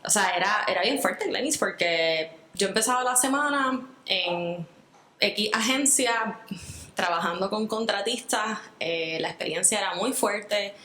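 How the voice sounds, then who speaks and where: A woman's voice: mid-range voice, a little slow, sharp tone, roomy sound, slight background noise, poor audio. A Puerto Rican woman speaking earnestly in an interview, reflecting at length with fillers and restarts. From Puerto Rico. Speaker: guest. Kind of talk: interview conversation.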